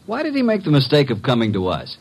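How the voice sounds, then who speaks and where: Husky, lively radio detective's sidekick. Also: male voice, medium pitch, fast talker, exaggerated voice. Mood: impressed. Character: wisecracking, easygoing male detective's assistant. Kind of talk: 1950s radio detective drama acting.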